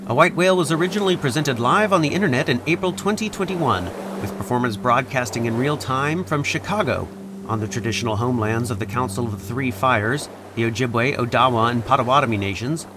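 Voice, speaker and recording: A man announcing an audio play in scripted narration. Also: mid-range voice. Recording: clear outdoor-like background noise.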